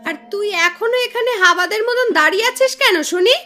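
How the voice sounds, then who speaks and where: A woman's voice: extremely high pitch, very animated, measured pace, bright, forceful tone, soft background music, heavily acted. A woman playing a haughty, spiteful village aunt in a cartoon fairy tale, scolding with open scorn. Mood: scornful, scolding. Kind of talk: animated fairy tale dialogue.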